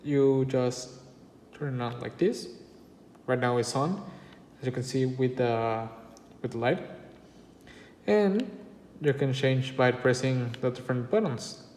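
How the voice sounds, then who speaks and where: A man talks slowly through a casual product review in halting phrases. His voice rings with long reverb in an echoey room, over faint background noise. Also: mid-range voice, a little mellow.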